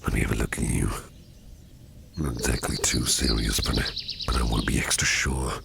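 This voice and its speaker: A caring businessman boss, acted by a man for an ASMR roleplay, speaks in an extremely deep, heavily creaky murmur that often slips into whisper. He is gentle, protective and reassuring, taking his words slowly. Clear background noise sits behind the voice. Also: fairly even intonation, exaggerated voice. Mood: worried, reassuring. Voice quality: breathy near-whisper with gravelly fry. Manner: tender, slow, reassuring murmur. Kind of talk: ASMR roleplay, soothing personal attention.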